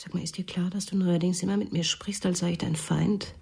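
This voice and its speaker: Very husky, anxious wife. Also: female voice, fairly low pitch, steady tone, brisk, mellow, full character voice. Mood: hurt, probing. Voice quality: husky, whispery. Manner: hesitant, questioning. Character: anxious, probing wife, soft-spoken and suspicious. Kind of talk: radio drama acting.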